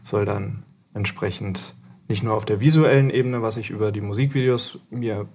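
A man's voice: fairly low pitch, moderate pace, a little mellow, husky. A man from Berlin, a film director guest on a podcast, talking in a rambling, self-correcting way. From Berlin, Germany. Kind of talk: casual podcast chat.

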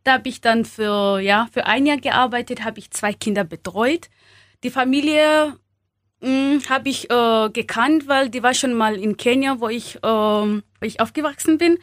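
A young woman from Kenya speaking German as a second language, halting with many fillers and small grammar slips, as an interview guest. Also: a little high, no echo, clean studio sound. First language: not German. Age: young adult.

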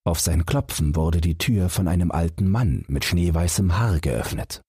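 Low, very husky male storyteller. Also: dry recording, studio quality. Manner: measured, expressive storytelling. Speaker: audiobook narrator. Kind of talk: scripted bedtime fairy-tale narration.

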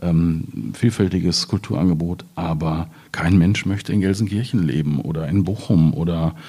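Low, mellow, husky German man. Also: moderate pace. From Ruhr area, Germany. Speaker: poet at a public reading. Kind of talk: casual explanatory talk.

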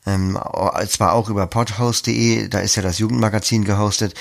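Gravelly, dry-recorded man explaining in an interview. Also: on the low side, fairly even intonation, a little fast, dry recording. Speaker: interviewed podcast producer. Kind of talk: conversational explanatory interview answers.

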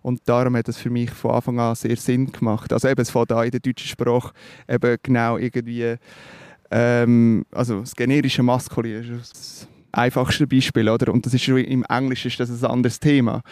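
A man's voice: mid-range voice, flat delivery, normal speed, a little mellow, no room sound, studio quality. A man with a Swiss German accent, a musician being interviewed, talking thoughtfully and hesitantly with fillers and restarts. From Switzerland. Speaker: musician guest. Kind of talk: reflective interview talk.

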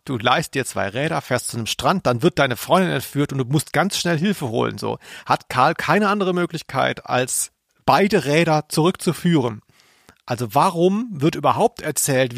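A man's voice: medium pitch, vocal fry, no room sound, clean studio sound. A man talking casually and humorously as a podcast host. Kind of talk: casual humorous chat about audio plays.

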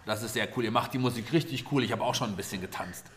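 A man's voice: fairly low pitch, quick pace, a little hiss or hum. A man hosting an improvised children's audio play, chatting playfully and encouraging others with questions.

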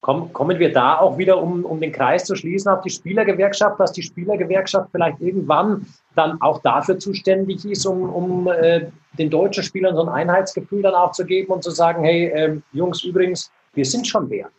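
A man's voice: high-pitched, steady tone, brisk, poor audio. A man co-hosting a podcast, casually working his way through a question with hesitant repetitions. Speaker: podcast co-host. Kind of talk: casual interview chat.

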